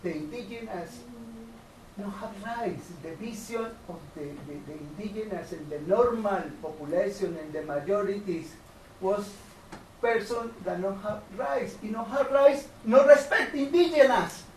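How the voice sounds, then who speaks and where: A woman from Colombia with a Colombian Spanish accent, a native Spanish speaker, speaking halting, simple English from the audience. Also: mid-range voice, a little mellow, slight background noise. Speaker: audience member. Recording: distant, roomy hall recording.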